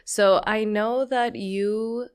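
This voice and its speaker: A woman's voice: mid-range voice, fairly even intonation, extremely slow, smooth. A young woman hosting a podcast, asking curious questions in a chatty, reactive way. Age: young adult. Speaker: podcast host. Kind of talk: casual interview chat.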